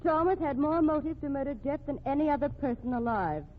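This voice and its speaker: An actress plays a wealthy, self-possessed widow in an old radio mystery, on a narrow, faintly noisy recording. Her fairly high voice swoops archly. She stays dry, sardonic and unruffled. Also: a little fast, close to an everyday voice. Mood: cool, insinuating. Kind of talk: 1940s radio crime drama acting.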